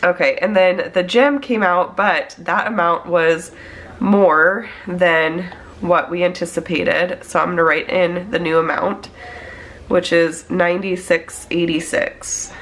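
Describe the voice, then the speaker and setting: A woman's voice: fairly low pitch, steady tone, slow, fairly bright tone, poor audio. A woman in her thirties, congested from a cold, chatting casually as she plans her budget. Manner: chatty, talks through her steps. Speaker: budget planning vlogger. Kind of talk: casual chat while planning on a tablet.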